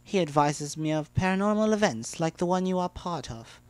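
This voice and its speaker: A man's voice: sits high, normal speed, clean tone, no echo, pitched above the performer's own voice. Man as a regal pony princess, raised voice. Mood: calm explaining. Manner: calm, formal. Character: regal, composed adult female pony princess. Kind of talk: dramatic fan-fiction reading.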